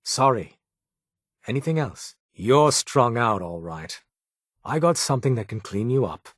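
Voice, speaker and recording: A man's voice: medium pitch, lively intonation, a little slow, no echo. A man playing a brusque, haughty underground doctor in a video game, with a British accent and a dry, sardonic, formal manner. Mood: businesslike. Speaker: medic in a secret resistance group. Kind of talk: video game character voice acting.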